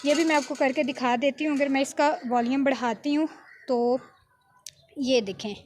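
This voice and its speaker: A woman giving an informal, step-by-step software tutorial as an editing tutor, often adding small check-in tags. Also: sits high, fairly even intonation, normal speed, heavy background noise.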